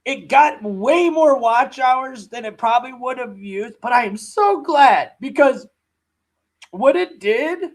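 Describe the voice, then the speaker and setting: A man hosting a livestream, giving mocking live reaction commentary with a sore throat. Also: very high-pitched. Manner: mocking, laughing ranting. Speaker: livestream host.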